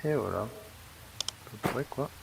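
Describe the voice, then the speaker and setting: Gravelly-voiced man rambling over gameplay. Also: medium pitch, lively intonation, moderate pace, background noise. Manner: rambling, hesitant, full of fillers and restarts. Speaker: let's play host. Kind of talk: casual gameplay commentary.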